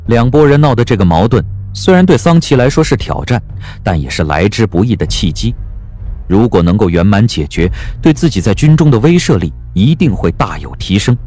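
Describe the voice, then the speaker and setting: Dark-voiced, expressive male narrator. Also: on the low side, brisk, soft background music. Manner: expressive storytelling. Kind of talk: scripted audio drama narration.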